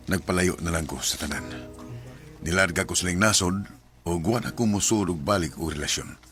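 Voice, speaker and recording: Low-voiced Cebuano man in a radio drama. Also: a bit bright. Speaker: letter sender narrating and acting his own story.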